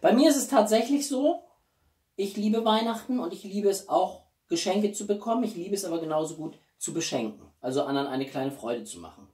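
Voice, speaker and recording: A man's voice: sits high, animated, a little fast, darkish tone. A man, chatting casually and expressively to camera as a video host at home. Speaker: YouTube host. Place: small living room at home, indoors. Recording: roomy echo, distant microphone.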